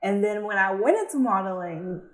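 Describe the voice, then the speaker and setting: A young adult woman from Guinea, West Africa, chatting casually as an interview guest in an upbeat, animated way with lots of fillers. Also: mid-range voice, moderate pace. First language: Fulani. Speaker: model and guest.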